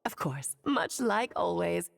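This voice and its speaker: A woman voicing an ageless, cheerful and wise witch who speaks in a lilting, gently teasing way. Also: on the low side, very animated, takes it slowly, creaky, noisy background, exaggerated voice. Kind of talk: video game voice acting.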